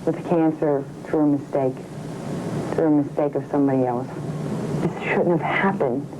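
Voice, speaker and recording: Interviewee, female, 30s, lowish voice, informal first-person account, muffled sound with noticeable noise. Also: steady tone, moderate pace. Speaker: patient being interviewed. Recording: dull sound with clear background noise.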